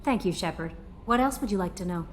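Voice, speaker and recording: A woman's voice: mid-range voice, animated, quick pace, slight background noise, close to an everyday voice. A woman playing an earnest, personable young ship's aide in a video game. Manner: eager, personable.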